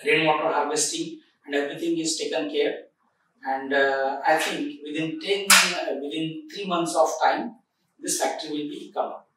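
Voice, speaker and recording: A man in his forties, seated in an office, presenting a factory project in a formal way with many filler pauses. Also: a little high, steady tone, noisy background. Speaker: project coordinator. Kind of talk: corporate site tour presentation. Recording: echoey room, recorded from a distance.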